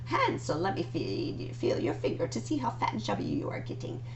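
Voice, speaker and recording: A woman's voice: lowish voice, huge pitch swings, normal speed, recorded from a distance, lo-fi sound, noisy background. A woman voicing a greedy, cruel old witch in a storybook, sugary and cooing, cackling and shrieking. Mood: impatient.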